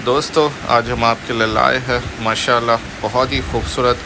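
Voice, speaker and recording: A man's voice: medium pitch, little pitch movement, moderate pace, noisy background. A man with an Indian accent giving a casual promotional voice-over as a video host.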